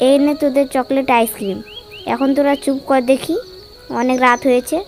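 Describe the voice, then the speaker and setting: Woman voicing a high-pitched, pleading cartoon mother bird. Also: steady tone, a little fast, darkish tone, soft background music, natural voice. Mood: weary scolding. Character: poor, anxious mother bird, loving but weary. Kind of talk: cartoon character dialogue.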